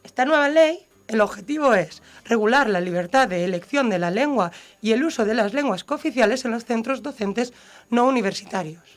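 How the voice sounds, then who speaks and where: A woman from Siete Aguas, explaining a law on a radio discussion and giving lively everyday examples. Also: a little high, a little fast. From Siete Aguas, Valencia, Spain.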